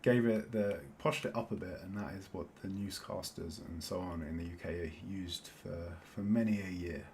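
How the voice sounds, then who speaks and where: A man's voice: low voice, moderate pace, few pauses, darkish tone, a little hiss or hum. A man with a South London accent chatting casually as he plays a game, rambling and often laughing. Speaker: Minecraft let's-play host. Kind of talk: casual chat while playing.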